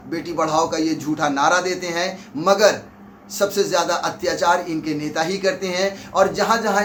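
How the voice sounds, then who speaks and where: A man's voice: a little high, fairly bright tone. A man presenting opinionated news commentary, addressing viewers directly in an accusatory, rhetorical way. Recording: echoey room, clear background noise.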